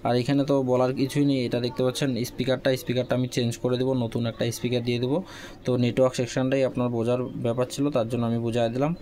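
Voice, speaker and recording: A man talks very quickly through a casual how-to, with little rise or fall in pitch. He goes step by step, repeating phrases as he instructs. Some background noise sits behind his voice. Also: flat delivery, dark tone. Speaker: phone repair tutorial host. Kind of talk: casual hands-on tutorial narration.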